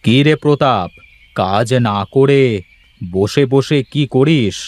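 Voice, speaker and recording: A man's voice: mid-range voice, expressive, slow, no echo. A man voicing a bossy, evasive village landowner in a cartoon, with a casually commanding, drawling manner. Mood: excusing. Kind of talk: Bengali moral-story cartoon voice acting.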